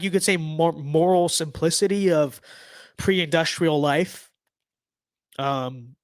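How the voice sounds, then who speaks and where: Young man, hoarse, rambling livestream talk. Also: fairly high pitch, a bit bright, dry recording, clean studio sound. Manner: rambling, filler-heavy, many restarts. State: losing his voice. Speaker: livestream host. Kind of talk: casual livestream commentary.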